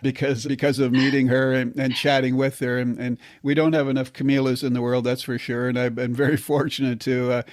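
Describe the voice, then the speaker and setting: Older male host, halting and repetitive. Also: medium pitch, little pitch movement, dry recording, studio quality. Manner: halting, repeats words when starting sentences. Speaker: podcast host, nurse. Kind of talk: podcast interview.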